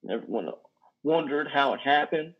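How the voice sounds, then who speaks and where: A man in his thirties playing a simple, wounded, lonely trucker with halting, folksy, ungrammatical speech in a play reading. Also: a little high, animated. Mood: wounded, reflective. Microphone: headset on a video call. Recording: dull video call audio.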